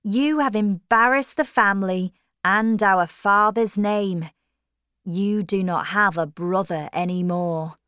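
Stern angry brother, harsh and accusing as a lady reader puts him on, slow and dry. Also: mid-range voice, a bit bright, clear, resonant tone, dry recording. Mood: cold anger.

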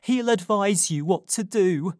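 A woman's voice: mid-range voice, measured pace, a little mellow, no echo, clean studio sound, voice pushed up, close to an everyday voice. A woman voicing a frightened young woman who speaks in an urgent, pressing way in a storybook reading.

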